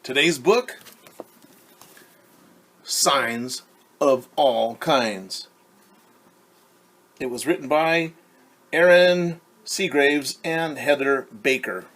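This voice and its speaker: Man, teacher reading to kids, patient, asks questions, mid-pitched voice, takes his time. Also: a bit bright. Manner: slow, patient, asks children questions and pauses for answers. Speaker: teacher reading a picture book to children. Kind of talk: children's storytime read-aloud.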